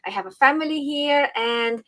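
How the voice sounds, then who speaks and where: Woman, slightly high voice. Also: normal speed, a bit bright. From Western Ukraine. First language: Ukrainian. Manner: earnest, with frequent ums and small laughs. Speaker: podcast guest. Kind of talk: casual interview talk.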